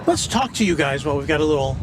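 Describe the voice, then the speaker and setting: Lively man hosting outdoors. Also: fairly high pitch, very fast, a crowd in the background, low-quality recording. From New York. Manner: lively, laughs often. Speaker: livestream host. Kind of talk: casual live chat, interviewing guests. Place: outdoors at an airport. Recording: outdoor stream with traffic noise.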